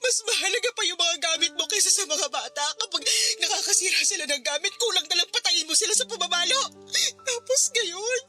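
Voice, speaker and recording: Radio drama wife played by a woman: very high-pitched, grieving, accusing, tearful delivery over music. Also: expressive, a little fast, pushed and bright, full character voice. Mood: accusing, angry. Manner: tearful, reproachful, emotional delivery. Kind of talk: Filipino radio drama acting. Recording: music under the voice.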